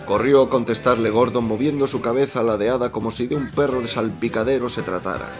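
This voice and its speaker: A man's voice: mid-range voice, brisk, lightly acted. A man narrating a radio soap in a florid, mock-solemn storytelling manner. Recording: music behind the voice.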